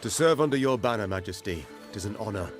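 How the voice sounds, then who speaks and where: An actor playing an earnest, loyal knightly officer in a video game, speaking formally and with deference. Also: male voice, fairly low pitch, lively intonation, fast talker, close to an everyday voice. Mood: devoted. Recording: music behind the voice.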